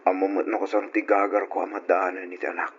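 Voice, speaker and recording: A man's voice: deep voice, little pitch movement, fast, close to an everyday voice. A man playing an anxious, protective husband in an old-style radio drama. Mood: worried, coaxing. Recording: dull radio audio, faint noise.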